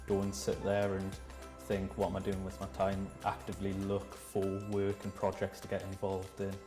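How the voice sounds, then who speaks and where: Young Northumberland man, graduate trainee, low and monotone, earnest, echoey room with music. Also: normal speed. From Northumberland, England. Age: young adult. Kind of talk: casual interview answers. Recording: roomy sound with music behind.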